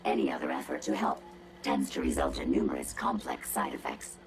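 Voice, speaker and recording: A woman's voice: rumbling low, expressive, brisk, music in the background. An actress playing a haughty, condescending female robot with a robotic filter, sardonic, precise and pompous in a video game. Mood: smug, sardonic. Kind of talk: video game cutscene acting.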